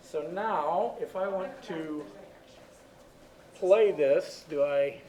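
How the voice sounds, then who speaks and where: A man's voice: on the high side, extremely slow, mellow, slight background noise. Man teaching from across an echoey room. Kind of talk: classroom lecture. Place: classroom. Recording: echoey classroom, far from the mic.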